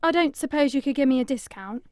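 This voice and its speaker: An actress voicing a brash, hard-drinking princess who hunts monsters. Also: female voice, high voice, expressive, quick pace, a little mellow, a little hiss or hum. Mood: sardonic. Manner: cocky, crude, sardonic.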